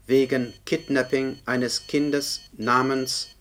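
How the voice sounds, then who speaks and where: An actor playing a dry, formal official who reads out police records in a radio play, punctuation included. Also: male voice, medium pitch, takes it slowly. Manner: monotone, formal, spells out punctuation. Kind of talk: German radio play acting, document read-out. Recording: older radio drama, noticeable background noise.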